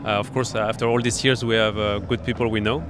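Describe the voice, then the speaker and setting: A man with a French accent, a startup accelerator founder being interviewed at a startup event, explaining his business in a halting way full of fillers and restarts. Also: on the low side, flat delivery, over music. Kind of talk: conversational interview.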